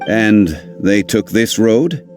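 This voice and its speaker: A man playing a composed, scholarly gentleman in a video game, speaking in a formal, calm and measured manner. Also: fairly low pitch, soft background music. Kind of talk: English video game voice acting.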